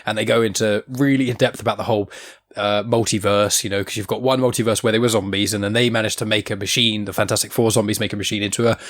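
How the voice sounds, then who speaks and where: Fast-talking man hosting a podcast. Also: fairly low pitch, fairly even intonation, fairly bright tone, no echo, studio quality. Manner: quick, enthusiastic, swears casually. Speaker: podcast host. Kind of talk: casual podcast chat.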